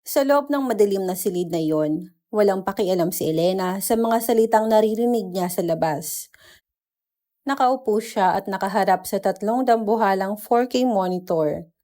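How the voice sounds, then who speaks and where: A woman's voice: medium pitch, moderate pace, dark tone. A woman storyteller reading a scripted dramatic narration.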